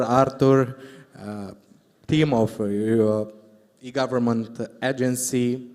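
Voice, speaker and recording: A man with a Romanian accent giving live conference remarks as a government official, in halting, deliberate phrases. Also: medium pitch, darkish tone. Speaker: government official speaking on a panel. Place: large indoor conference hall. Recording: echoey hall sound system.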